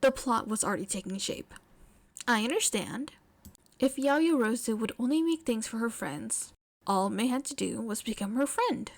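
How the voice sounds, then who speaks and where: Female storyteller, fairly high voice, scripted fiction narration. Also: normal speed, darkish tone.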